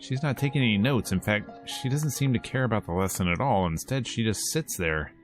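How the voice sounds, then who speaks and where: Low-voiced man playing a visual novel. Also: fairly quick, soft background music. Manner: playful, casual asides between read lines. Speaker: host of a gameplay video series. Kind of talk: let's play, reading visual novel text aloud with casual asides.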